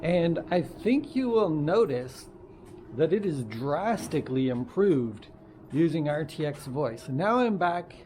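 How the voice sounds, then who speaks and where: A man giving an informal, halting walk-around tech demonstration, thinking aloud as he goes. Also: a little high, expressive, darkish tone. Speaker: presenter of a tech demo. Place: makerspace woodworking and tool shop. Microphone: wireless lavalier, sometimes built-in computer mic. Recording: wireless lav in noisy workshop.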